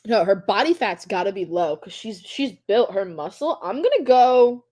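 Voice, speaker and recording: A woman's voice: medium pitch, very animated, normal speed, warm tone. A woman co-hosting a casual sports podcast, chatty and full of questions. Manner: chatty, curious, asks many questions. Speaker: podcast co-host.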